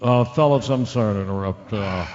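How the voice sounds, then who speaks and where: Man acting as halting radio newsman, heavy reverb. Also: fairly low pitch, darkish tone, lightly acted. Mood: flustered. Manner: halting, careful, apologetic. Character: male radio newsman, earnest, hesitant, increasingly rattled. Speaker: news reader. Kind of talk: live breaking-news radio acting. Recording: dull lo-fi audio with long reverb.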